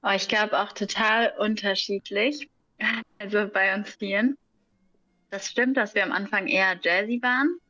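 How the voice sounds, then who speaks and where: A young woman, a singer who is a guest, talking casually in an interview, relaxed, often laughing and searching for words. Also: medium pitch, unhurried, no room sound, muffled recording.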